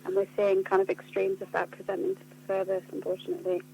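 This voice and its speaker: A woman's voice: medium pitch, monotone, fast, creaky, highs cut off, slight background noise. A woman being interviewed on the radio, explaining things in an articulate, earnest way. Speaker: charity communications officer being interviewed. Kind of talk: spoken radio interview answers.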